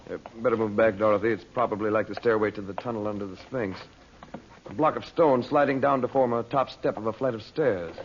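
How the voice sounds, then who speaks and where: Man playing calm take-charge adventurer hero, measured, old radio serial, muffled and a bit hissy. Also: on the low side, lightly acted. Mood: purposeful. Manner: measured, authoritative, explanatory. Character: calm, commanding male mystic adventurer hero. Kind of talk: old-time radio drama acting.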